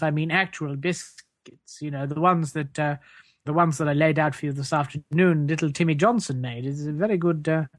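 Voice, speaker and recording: A man's voice: a little high, no room sound, clean studio sound, close to an everyday voice. Man playing a shifty, rambling British reverend. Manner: hesitant, evasive, rambling, falsely polite. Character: shifty, menacing, blithely shameless parish reverend. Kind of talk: improvised comedy sketch.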